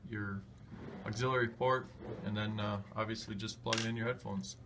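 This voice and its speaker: Flat-toned man in a noisy DIY video. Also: fairly low pitch, moderate pace. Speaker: host of a DIY tutorial. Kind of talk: casual hands-on how-to narration. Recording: clearly noisy home video sound.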